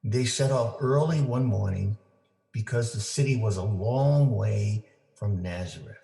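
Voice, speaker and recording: A man reading a children's story aloud for families, with deliberate, simple phrasing for young listeners. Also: lowish voice, gravelly, mellow. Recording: roomy, echoing indoor sound.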